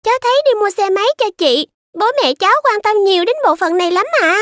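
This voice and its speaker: A very high-pitched little kid from a children's cartoon, squeaky and eager. The tone is bright and sharp, recorded close and dry, with a curious, polite way of talking. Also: lively intonation, fast talker, no echo.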